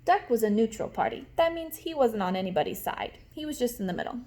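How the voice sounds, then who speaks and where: Animated woman teacher reading to children. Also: a little high, fast, a little hiss or hum. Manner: expressive, explains words and asks questions to children. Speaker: kindergarten teacher reading aloud. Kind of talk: animated storybook read-aloud for young children.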